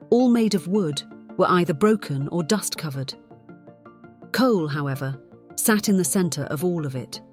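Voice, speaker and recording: Female audiobook narrator, slightly low pitch, dramatic scripted storytelling, clear music bed behind. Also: a little mellow.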